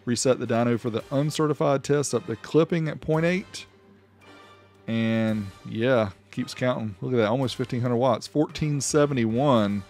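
A man's voice: medium pitch, normal speed, darkish tone, faint music behind. A man giving an informal, plainspoken review voice-over as the host of a product channel. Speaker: host reviewing car audio amplifiers.

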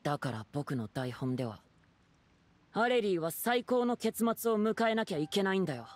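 An actor playing a wistful, stubborn young theater troupe member in a Japanese anime game, speaking in an earnest, measured, reflective way. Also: male voice, high-pitched, sing-song.

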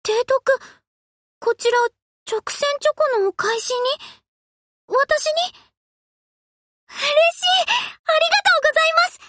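A woman's voice: very high-pitched, expressive, takes it slowly, pauses often, pushed and bright, no echo, clean studio sound, heavily acted. A woman voicing a shy, tender young warship girl in hesitant Japanese anime game lines. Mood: delighted. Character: young warship girls, shy, deeply moved.

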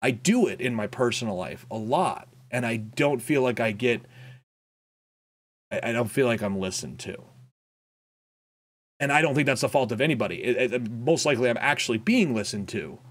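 A young man talking candidly in a personal vlog update, reflective and halting, with frequent fillers and restarts, recorded indoors in a small home room on a desk microphone. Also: mid-range voice, expressive. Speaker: content creator. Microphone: boom-arm desk mic, wearing headphones.

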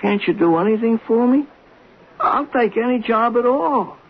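An actor playing an anxious, servile bartender and former chauffeur in a 1970s radio drama, nervous and prone to stammer. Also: male voice, very high voice, expressive, moderate pace. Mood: pleading, desperate. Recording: tinny narrow-band old radio recording.

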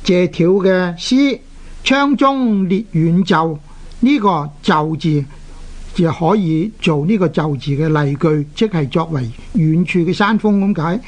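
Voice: medium pitch, darkish tone. Didactic radio teacher with Hong Kong Cantonese accent, sex unclear. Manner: didactic, deliberate, short phrases with drawn-out particles. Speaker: radio language teacher and presenter. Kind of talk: explanatory radio lecture on Chinese characters.

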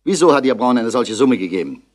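An actor playing a stern, impatient police commissioner who interrogates brusquely, in the style of a 1960s crime radio drama. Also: male voice, medium pitch, sing-song, fast.

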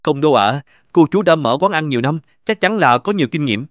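A man's voice: on the high side, animated, brisk, a bit bright, no room sound, muffled recording. An actor playing a grateful, successful young businessman in a cartoon, polite and sincere. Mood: earnest.

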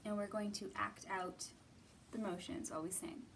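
A woman's voice: medium pitch, steady tone, normal speed, creaky, distant mic, a little hiss or hum. A woman in her thirties hosting storytime for children, giving simple instructions addressed to young listeners. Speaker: children's library storytime host. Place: indoor library room.

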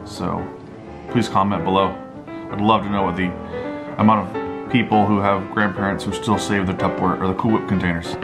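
A man's voice: low-pitched, level tone, normal speed. An American man in his thirties, co-hosting a cooking vlog with casual chat in a home kitchen. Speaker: co-host helping in cooking vlog. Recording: music clearly behind voice.

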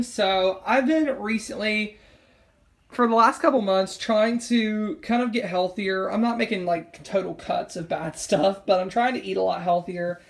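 A woman talking in a casual, rambling, informal way as a vlogger, with a creaky voice, recorded indoors at home on a handheld camera. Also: medium pitch. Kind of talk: casual haul vlog chat. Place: indoors at home, over a table of groceries.

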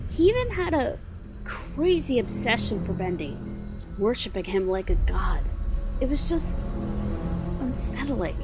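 A woman playing a caring, world-weary young sister in an amateur comic dub, with measured dramatic delivery. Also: a little high, big pitch swings, over loud music. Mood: somber.

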